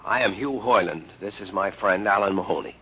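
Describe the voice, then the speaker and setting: An actor playing an earnest young scientist cadet, formal and deferential, in an old-time radio drama. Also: male voice, deep voice, a little fast, slightly rough. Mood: earnest, anxious. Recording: muffled, hissy old radio recording.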